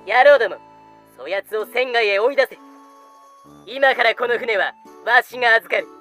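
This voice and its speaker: A man's voice: extremely high pitch, normal speed, bright, forceful tone, soft background music. A man in a fan audio drama playing a composed, sly pirate captain who talks in an archaic elder style. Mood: commanding.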